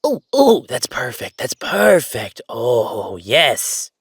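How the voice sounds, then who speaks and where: Man acting as male ghost, bossy, teasing, sentimental, swooping intonation, mid pitch, conversational audio drama. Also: slightly rough, no echo, exaggerated voice. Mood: excited, teasing. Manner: animated, teasing, banter with pauses and repeats. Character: bossy, playful, sentimental male ghost roommate, dead a century.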